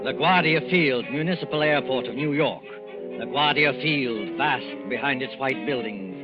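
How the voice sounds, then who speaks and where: A man hosting and narrating a 1940s radio thriller in a measured, dramatic style. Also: mid-range voice, loud music behind the voice. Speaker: program host and narrator. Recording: muffled vintage radio recording.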